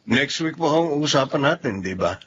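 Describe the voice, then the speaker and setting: A man's voice: medium pitch, lively intonation, normal speed, fairly bright tone, natural voice. Man acting earnest loyal engineer, emotive, polite, Filipino radio drama, dull hissy sound. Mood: polite, surprised. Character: earnest wronged engineer, loyal husband saddened by betrayal. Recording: muffled radio drama recording, noticeable hiss.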